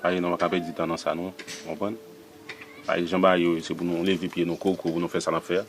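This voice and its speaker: A man voicing a gruff, dismissive older man who brushes things off curtly. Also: low voice, level tone, quick pace, crowd noise, voice pushed down, lightly acted.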